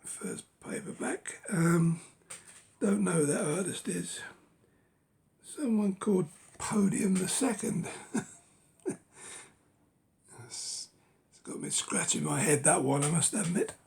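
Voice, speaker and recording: Hoarse man book collector, rough gravelly voice, unhurried half-whispered musing. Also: high voice, animated, a little mellow. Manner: unhurried, musing, lots of ums and rights. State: losing his voice. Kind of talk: casual collection walkthrough.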